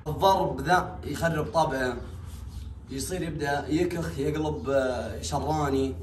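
A man's voice: mid-range voice, slight background noise. A young man with a Saudi accent explaining things casually and emphatically, like an animal owner talking to a vlog camera. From Saudi Arabia. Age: young adult. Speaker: big cat owner and vlogger. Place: small bare tiled enclosure. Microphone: camera a few steps away. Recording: echoey bare room, distant microphone.

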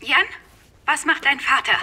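An actress playing a spirited young woman who talks briskly and teasingly over a phone call in a German radio drama. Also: high-pitched, bright, forceful tone, full character voice.

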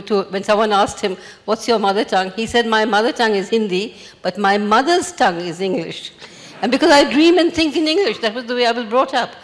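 An elderly woman from India speaks at a measured, thoughtful pace into a handheld microphone on a large festival stage. Her words carry a long hall reverb behind them. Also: mid-range voice. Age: 80s. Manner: measured, reflective storytelling. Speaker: panelist, memoir author. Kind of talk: panel discussion. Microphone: handheld mic.

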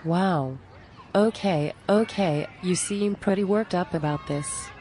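A woman's voice: fairly low pitch, slow, mellow, faint music behind, some chatter behind. A woman playing a down-to-earth, exasperated friend in a scripted cartoon dialogue, plain-spoken and conversational. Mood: resigned.

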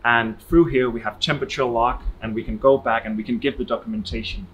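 A man, answering as an expert in a promotional interview, explanatory and slightly halting. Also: mid-range voice, steady tone, slight background noise, low-quality recording. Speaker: wine investment expert. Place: large indoor warehouse, walking.

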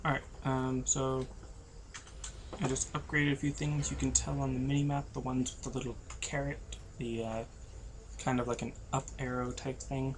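A tired young man, losing his voice, giving low-energy, rambling commentary over a video game. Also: flat delivery, normal speed, noisy background. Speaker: gameplay commentator. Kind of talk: casual let's-play commentary.

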